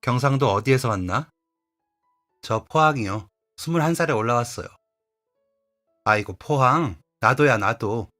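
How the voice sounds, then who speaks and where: A man's voice: mid-range voice, unhurried, pauses often, fairly bright tone, natural voice. A man voicing an older woman who runs a diner, talking bluntly in a Gyeongsang dialect, over faint music. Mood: delighted. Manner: blunt, homey dialect speech. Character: older woman restaurant owner, chatty, blunt.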